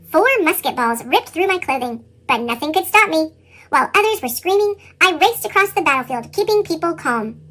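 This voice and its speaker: A woman reading a children's picture book as an earnest young boy, with a squeaky pitched-up chipmunk effect. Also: full character voice. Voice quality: squeaky, sped-up chipmunk sound. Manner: animated children's read-aloud delivery. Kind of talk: scripted picture-book narration.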